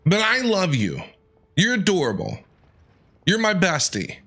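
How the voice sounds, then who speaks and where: A woman's voice: fairly low pitch, wildly swooping pitch, a little slow, fairly bright tone. A woman doing casual, playful, teasing gameplay reaction commentary. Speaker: streamer.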